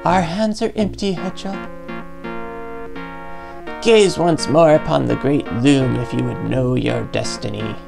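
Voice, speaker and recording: A woman plays a stern guild elder from a fantasy game, speaking in solemn, archaic phrases. The voice is gravelly and grave, with clear music playing behind it. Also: rumbling low, a little slow, long pauses, full character voice. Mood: grave, pronouncing. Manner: solemn, formal, archaic diction. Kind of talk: dramatic fantasy game dialogue.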